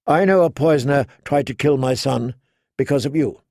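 A man reading an audiobook, voicing a cold, commanding young queen in measured tones close to his own voice. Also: mid-range voice, darkish tone, dry recording, close to an everyday voice.

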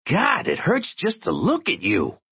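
Audiobook role: tiny crusading reporter, squeaky and husky, slangy, excitable, wildly swooping. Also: male voice, unhurried, muffled recording, heavily acted. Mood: agitated, needling. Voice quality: squeaky, irritating little voice. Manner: streetwise slangy American talk. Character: tiny, eight-inch man, a frantic, streetwise crusading reporter.

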